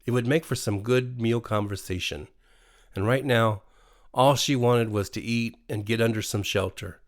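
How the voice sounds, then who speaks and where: A man with an American accent reading a scripted bedtime horror story in an expressive, dramatic way. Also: medium pitch, normal speed. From USA. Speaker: storyteller, narrator. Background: faint music.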